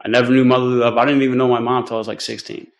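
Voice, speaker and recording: Flat-toned American man, informal defendant. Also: mid-range voice. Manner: halting, colloquial, leans on "like" and "you know". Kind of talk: informal explaining of himself in court. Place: courtroom.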